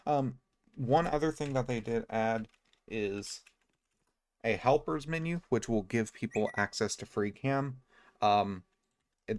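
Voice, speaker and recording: A man giving casual, low-key, explanatory video game commentary.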